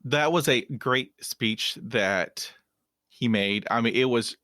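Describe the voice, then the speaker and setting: Slow-talking man who stutters, dry sound. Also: medium pitch, sharp tone, no room sound. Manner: stutters, with broken-off words and repeats. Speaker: podcast host. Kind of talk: casual interview talk.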